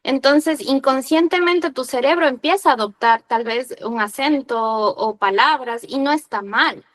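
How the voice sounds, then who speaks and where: A woman's voice: high-pitched, unhurried. A woman from Ecuador with a Quito accent, speaking in earnest, reflective casual chat.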